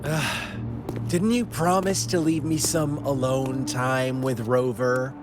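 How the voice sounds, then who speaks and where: A man's voice: medium pitch, steady tone, slow, natural voice. An actor voicing a theatrical, unhinged male game villain who taunts slyly with a mocking delivery. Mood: mocking, annoyed. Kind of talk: video game voice acting. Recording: loud music behind the voice.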